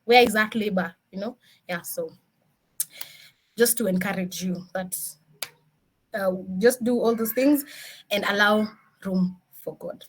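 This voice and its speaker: A woman with a Kenyan accent giving an informal motivational career talk as a senior engineer, mixing in Swahili with animated, emphatic rhetorical questions. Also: medium pitch, unhurried, pauses often, poor audio. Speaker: senior DevOps engineer, guest speaker.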